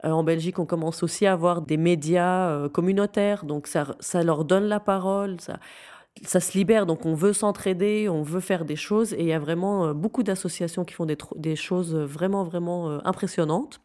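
The lowish-voiced woman guest on an interview show, earnestly pressing her points home. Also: steady tone, normal speed, mellow. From Syria. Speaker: interview guest, founder of a youth non-profit. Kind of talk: conversational interview.

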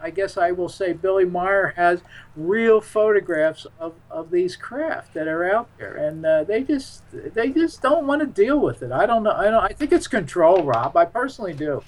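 High-pitched man, rambling interview guest. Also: lively intonation, moderate pace, poor audio. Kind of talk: casual radio interview talk.

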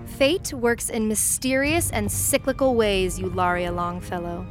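An actress playing a haughty, cruel royal villainess in a sci-fi audio drama, pompous and deliberate. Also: female voice, medium pitch, fairly even intonation, music in the background, natural voice. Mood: smug menace.

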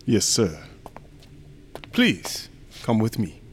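An actor in a radio drama playing a courteous, solemn assistant at a sinister museum, formal, deferential and unhurried. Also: male voice, fairly low pitch, huge pitch swings, a little hiss or hum, exaggerated voice. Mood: politely firm.

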